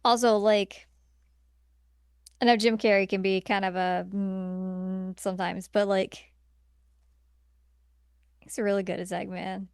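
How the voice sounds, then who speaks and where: A woman's voice: medium pitch, flat delivery, slow, pauses often, clean tone, no room sound, clean studio sound. A woman co-hosting a podcast, chatting informally and agreeably, peppering her talk with "like". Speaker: podcast co-host. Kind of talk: casual chat reviewing movies.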